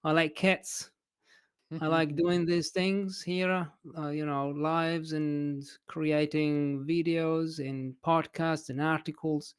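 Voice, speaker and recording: A man with an Italian accent talks unhurriedly as a guest, in a fairly high and very clear voice. He hesitates and restarts often, and his voice rises and falls with feeling. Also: little pitch movement, slow. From Italy. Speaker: English teacher and writer, podcast guest. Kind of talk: casual interview chat.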